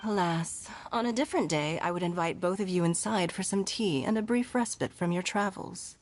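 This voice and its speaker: An actress playing a courteous, composed noblewoman leader in a video game, speaking in a formal, measured way. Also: fairly low pitch, slight background noise, natural voice. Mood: gracious. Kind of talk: video game character dialogue.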